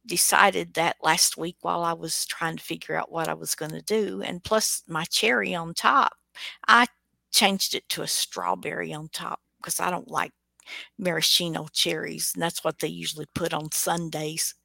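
Bright, creaky woman host. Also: fairly low pitch, fairly even intonation, moderate pace, no room sound. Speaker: radio show host. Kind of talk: casual chat.

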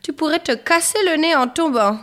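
Storybook fairy mother, played by a female reader: high, shrill, strict, quick to scold. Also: fairly bright tone. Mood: stern, forbidding.

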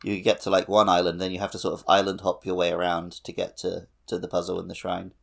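A man with a low, creaky voice co-hosts a podcast. He talks in a casual, conversational way about gaming, with plenty of fry in his delivery. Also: completely flat delivery, fast talker. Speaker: podcast co-host. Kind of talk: casual game discussion.

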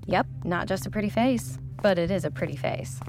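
An actress playing a cocky, wisecracking young woman engineer in an audio drama, sassy and brisk in explaining. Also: medium pitch, expressive, over music, close to an everyday voice, rough recording. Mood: matter-of-fact, teasing.

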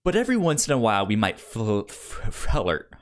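A man chatting casually as a podcast host, halting and often repeating the starts of his words. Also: lowish voice, huge pitch swings. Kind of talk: casual chat about comics.

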